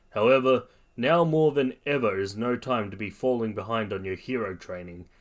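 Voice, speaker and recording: A man voicing a stern, weary teacher of young heroes in a narrated story, with a measured, authoritative manner. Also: on the low side, lightly acted.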